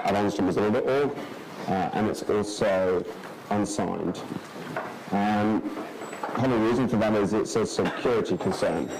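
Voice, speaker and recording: A man in his thirties giving a technical conference talk in a large lecture hall, relaxed, with many fillers and restarts. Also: lowish voice, noisy background. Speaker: conference speaker.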